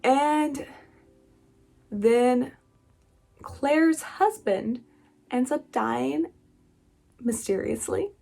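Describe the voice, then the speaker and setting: A woman's voice: sits high, drawn-out words, vocal fry, long pauses, warm tone. A woman hosting a book vlog, talking in a casual, chatty style with informal, emphatic asides. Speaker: book reviewer and channel host. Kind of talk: casual chatty video monologue.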